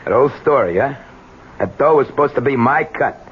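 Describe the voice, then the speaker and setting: An actor playing a weary old American ex-convict, streetwise, wry and stubborn, in a 1970s radio drama. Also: male voice, medium pitch, expressive, normal speed, breathy edge. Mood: wry suspicion. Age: older. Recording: muffled, noisy 1970s radio recording.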